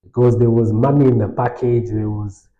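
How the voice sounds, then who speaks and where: A man's voice: mid-range voice, steady tone, moderate pace, warm tone, reverberant, dull audio. A man on a podcast telling a personal story in a casual, anecdotal way, now and then dropping in Swahili phrases. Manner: anecdotal, light laughs, mixes in Swahili phrases. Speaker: podcast host.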